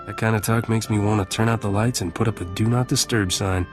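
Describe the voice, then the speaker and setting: Roguish male space smuggler voiced by an actor, low-pitched, glib and flirtatious game hero, music clearly behind. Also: steady tone, fairly quick, natural voice. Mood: flirty, glib. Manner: swaggering, glib, teasing. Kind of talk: scripted video game dialogue.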